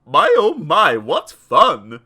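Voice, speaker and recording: A man's voice: a little high, huge pitch swings, slow pace, sharp tone, slightly rough, pitched below the performer's own voice, full character voice. A woman voicing a gleeful, grasping male dentist with a gloating, emphatic manner in a storybook reading. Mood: gleeful, impatient.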